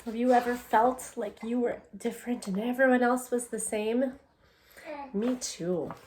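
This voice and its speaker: A woman teacher reading a picture book aloud to young children, expressively and deliberately, with conversational asides and questions to her listeners. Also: a little high, steady tone, a little mellow, rough recording. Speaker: elementary English language development teacher.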